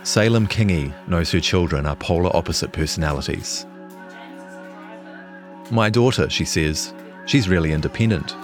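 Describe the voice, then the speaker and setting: A man's voice: sits low, animated, moderate pace, long pauses, soft background music. A man reading a scripted longform news feature as a journalist narrator.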